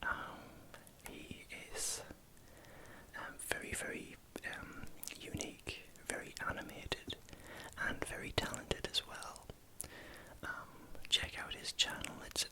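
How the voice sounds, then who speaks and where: Slow whispering man with Geordie accent. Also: low-quality recording. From northeast England. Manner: hesitant, halting delivery. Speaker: ASMR video creator. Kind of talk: whispered ASMR vlog, recounting.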